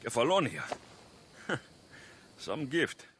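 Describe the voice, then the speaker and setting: An actor playing a stoic young mercenary in a video game, gruff but kind underneath, with a dry, understated delivery. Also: male voice, medium pitch, very animated, takes it slowly, fairly bright tone, a little hiss or hum. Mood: wry. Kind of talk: video game cutscene acting.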